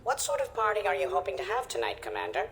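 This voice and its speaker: A man voicing a polite virtual assistant drone in a video game, speaking formally and with measured, precise diction. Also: sits high, sing-song, creaky, a bit bright, a little hiss or hum, exaggerated voice. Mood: courteous. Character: polite, obliging virtual butler drone of calm temper. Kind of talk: scripted game dialogue.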